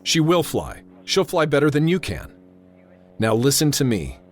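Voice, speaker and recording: An actor playing a tense, authoritative airfield controller in a radio drama, narrating and giving orders with clipped urgency. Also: male voice, medium pitch, big pitch swings, moderate pace. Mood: stern, insistent. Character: tense, commanding male airfield tower controller narrating a crisis.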